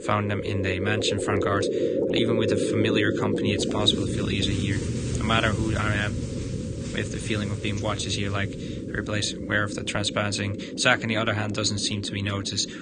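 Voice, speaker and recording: A man livestreaming a visual novel, reading its narration aloud haltingly and stumbling over the text. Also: lowish voice, completely flat delivery. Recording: very loud background noise.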